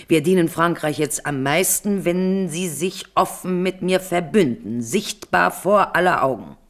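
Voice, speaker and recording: An actress playing a cool, calculating queen mother in a 1970s radio drama, smooth and persuasive with a mocking edge. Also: lowish voice, lively intonation, unhurried. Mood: scheming.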